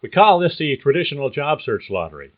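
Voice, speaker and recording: Male host reading career advice from a script, measured and explanatory; thin, phone-like recording. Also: fairly high pitch, very animated. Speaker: podcast host. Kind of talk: scripted narration.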